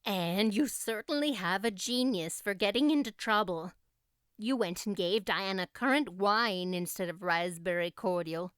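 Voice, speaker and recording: A stern older woman, as a female narrator plays her, speaking in a clear, close voice. She is curt, practical and no-nonsense, with gruff kindness underneath, in storybook audiobook style. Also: medium pitch, a little slow, fairly bright tone, dry recording, lightly acted. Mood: brisk, exasperated. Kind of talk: audiobook character acting.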